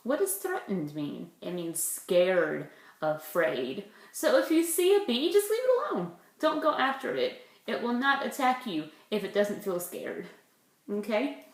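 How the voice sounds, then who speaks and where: A woman, a preschool teacher leading circle time and reading a picture book, asking simple questions and explaining words for small children. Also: fairly high pitch. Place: indoors in a room at home. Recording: roomy, echoey home recording.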